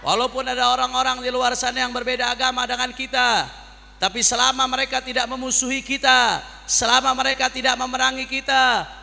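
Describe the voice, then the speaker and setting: A man's voice: very high voice, level tone, brisk, creaky, pushed and bright, in a large hall. A man preaching a sermon to a congregation as an impassioned orator, using repetition and rhetorical questions. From Jakarta, Indonesia. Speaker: Islamic preacher.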